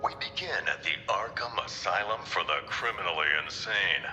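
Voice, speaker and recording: An actor playing a grave comic-book narrator, telling a dark tale in an ominous, theatrical style. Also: male voice, deep voice, moderate pace, close to an everyday voice. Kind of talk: dramatic scripted narration. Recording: long hall reverb, faint music behind.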